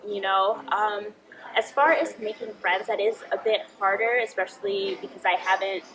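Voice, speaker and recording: A woman's voice: mid-range voice, steady tone, normal speed, noisy background. A woman from the United States chatting as an interview guest, laughing often and using many fillers. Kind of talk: casual interview chat.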